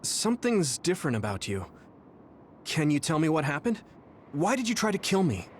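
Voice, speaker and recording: An actor playing an earnest, trusting young soldier in an English game dub, speaking with soft sincerity. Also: male voice, on the high side, wildly swooping pitch, normal speed, slight background noise. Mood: earnest, unsettled.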